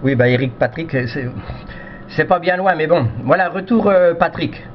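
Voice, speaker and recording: A man's voice: fairly high pitch, moderate pace. A man chatting casually over amateur radio in a polite, hesitant, rambling way, often repeating himself. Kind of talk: casual radio contact chat. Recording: dull lo-fi radio audio with noise.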